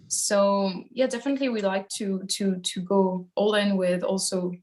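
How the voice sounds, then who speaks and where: A woman's voice: mid-range voice, fairly even intonation, normal speed, darkish tone. A woman from Switzerland, a band singer, giving casual interview answers in a thoughtful, hesitant way as she searches for phrasing.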